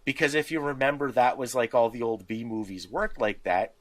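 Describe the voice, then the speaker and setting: A man's voice: medium pitch, a little fast, a bit bright. A man in casual podcast discussion about tabletop games, explaining things with examples and little acted-out asides. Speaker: podcast co-host.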